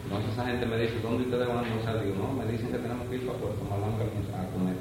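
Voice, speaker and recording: An older man with a Cuban accent slowly recalling old times in an oral history interview, rambling with folksy asides. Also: lowish voice, steady tone. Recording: echoey room from far off, noisy.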